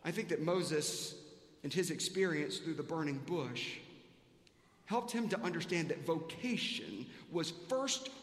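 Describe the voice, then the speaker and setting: Male pastor, high-pitched, earnest and repetitive in sermon delivery, recorded in a very reverberant church. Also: animated, measured pace. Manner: rhetorical repetition, earnest questioning. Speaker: pastor preaching to a congregation. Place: large reverberant church sanctuary. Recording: echoey hall with long reverb.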